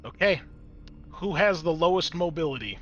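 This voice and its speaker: A man's voice: on the high side, expressive, moderate pace, lo-fi sound, soft background music. A man running a tabletop roleplaying game as game master, improvising scene narration and explaining dice rolls.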